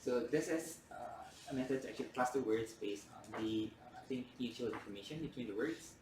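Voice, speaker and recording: A man's voice: medium pitch, fairly quick, darkish tone, a little hiss or hum. A man whose first language is Indonesian, giving a university lecture in a hesitant way with many fillers and restarts. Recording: roomy lecture hall from far off.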